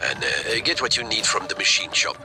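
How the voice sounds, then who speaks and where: An actor playing a flustered middle-aged scientist leaving a hesitant, stammering voice message in a video game. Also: male voice, fairly low pitch, big pitch swings, fairly quick, gravelly. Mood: muttering, conspiratorial. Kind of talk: video game audio log acting.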